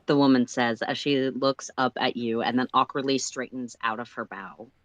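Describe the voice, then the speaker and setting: Storyteller reading fanfic out loud on a stream, mid-pitched voice, really dry close sound. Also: fairly even intonation, moderate pace, no echo. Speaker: co-host and narrator of a story livestream. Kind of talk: read-aloud narration of fan fiction in second person.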